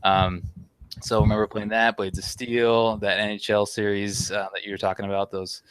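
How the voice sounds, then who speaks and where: A man's voice: on the low side, little pitch movement, normal speed, background noise. A man in his thirties co-hosting a podcast, chatting casually and leading the talk with questions. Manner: leads the talk, asks questions. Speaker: podcast co-host. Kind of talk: casual chat.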